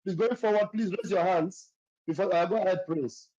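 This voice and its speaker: A man's voice: high voice, normal speed, dark tone. A man moderating a live audio chat room, giving firm, repeated directions to the callers. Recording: lo-fi online audio stream.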